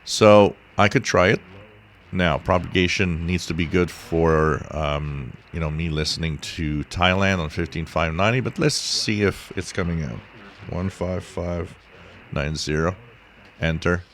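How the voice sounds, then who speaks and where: Very deep-voiced man explaining, hissy background. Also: a little slow. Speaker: shortwave radio hobbyist, tutorial host. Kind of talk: informal explanatory screen-share tutorial. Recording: steady hiss behind the voice.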